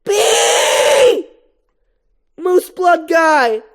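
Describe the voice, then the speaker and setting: Woman doing a wild cartoon mosquito dude, super high n loud, yelling like a brash comic. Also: expressive, slow pace, heavily acted. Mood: panicked yelling. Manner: yelling, impersonating a brash comedian. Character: frantic, loudmouthed male mosquito, wild and streetwise. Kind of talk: animated film script read-through.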